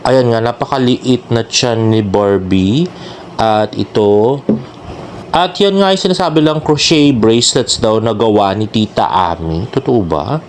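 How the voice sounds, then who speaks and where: A man's voice: on the low side, animated, unhurried. A man with a Filipino accent gives casual, chatty fan commentary as a vlogger, gushing and often adding tag questions. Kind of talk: casual commentary on celebrity social media posts. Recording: clearly noisy background with ambient sound.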